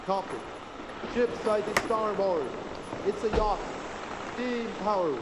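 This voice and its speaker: An actor playing an alert sailor on lookout in a radio drama, giving clipped reports. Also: male voice, sits high, takes it slowly. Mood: tense, alert. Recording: echoey, very noisy sea effects.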